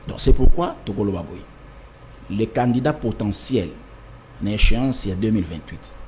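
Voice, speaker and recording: A man's voice: fairly low pitch, lively intonation, moderate pace, a little mellow, a little hiss or hum. A man, giving an emphatic political interview and driving his points home with repetition and rhetorical lists. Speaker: political party representative. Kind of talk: political interview, mixing French and Lingala.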